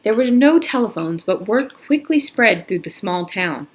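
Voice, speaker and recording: A woman's voice: medium pitch, expressive, normal speed, slightly rough. A woman reading a novel aloud in a casual manner, with slips and restarts. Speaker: reader.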